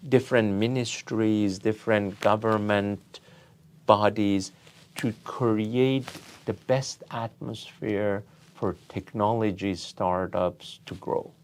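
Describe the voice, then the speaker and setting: A man in middle age or older, from Iran, answers as a founder being interviewed. His voice sits on the low side and he speaks very slowly. He picks his phrases deliberately, with halts, in a conversational storytelling style. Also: steady tone, slight background noise. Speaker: interview guest, tech company founder and CEO.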